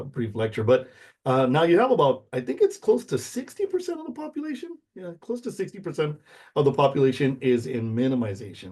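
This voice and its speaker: A man's voice: medium pitch, warm tone, breathy edge. A middle-aged man from the United States whose first language is Spanish, giving an informal lecture in an explanatory way, checking in with short tag questions. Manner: explanatory, checks in with "okay?" and "right?".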